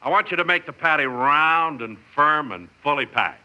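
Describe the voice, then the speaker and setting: An actor playing a hearty, pompous customer in an old radio comedy, delivering lines with an announcer's emphasis. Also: male voice, fairly high pitch, animated, moderate pace, bright, forceful tone, poor audio. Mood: hearty, emphatic. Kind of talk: 1950s radio comedy acting.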